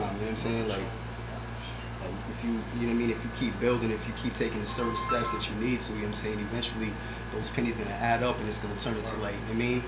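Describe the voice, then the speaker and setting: A young man, a rapper, chatting casually as an interview guest in a slangy, rambling way full of repeated filler phrases. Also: fairly low pitch. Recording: echoey room with background noise.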